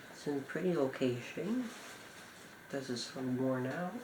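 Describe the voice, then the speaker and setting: A man with a middling pitch presents items from a collection in a casual way. He speaks in short, halting, informal phrases. The room is echoey and there is clear noise in the recording. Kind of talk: casual show-and-tell commentary.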